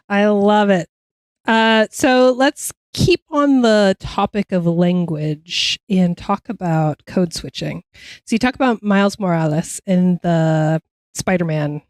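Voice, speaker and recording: A woman's voice: lowish voice, no room sound. A woman hosting a podcast interview, enthusiastic and supportive as she asks her guest questions. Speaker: podcast host.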